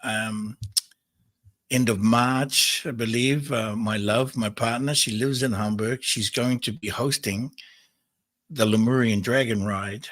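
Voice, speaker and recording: A man hosting a spiritual call-in show, greeting callers as brother and answering in a searching, halting way. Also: mid-range voice, fairly even intonation. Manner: warm address, calls people brother and mother. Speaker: host.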